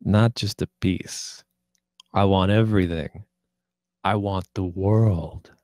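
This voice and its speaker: A man playing a crude, cocky, domineering poker boss in a script table read, with a swaggering, deliberate delivery. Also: low-pitched, steady tone, a little mellow, no room sound, clean studio sound. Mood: cool menace.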